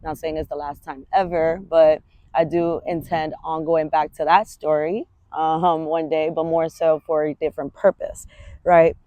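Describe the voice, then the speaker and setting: A woman's voice: sits low, level tone, a little slow, mellow, no echo. A woman, a fitness coach talking candidly in a rambling, casual vlog and swearing freely. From Washington Heights, New York. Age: 30s.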